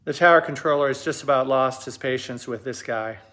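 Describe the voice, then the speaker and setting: A man with a mid-range voice hosting an analysis. He speaks in a measured, explanatory way, pausing to put weight on his points. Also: medium pitch, fairly bright tone. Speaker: host and aviation commentator. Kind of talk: explanatory commentary.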